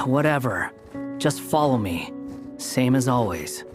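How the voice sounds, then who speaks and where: A curt sarcastic young wanderer. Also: male voice, medium pitch, expressive, slow. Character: aloof young man in a hat, sarcastic and curt. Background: game music.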